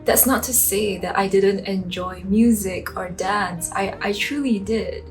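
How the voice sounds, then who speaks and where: A woman's voice: mid-range voice, a little slow, soft background music. A woman in her late twenties from Singapore, earnestly sharing personal reflections in a vlog. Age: late 20s. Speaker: vlogger. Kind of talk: reflective personal talk to camera.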